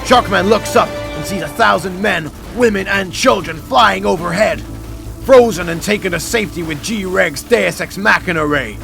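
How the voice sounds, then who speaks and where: Man, radio play narrator, dramatic scripted delivery, high pitch, gravelly creak, loud music. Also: moderate pace.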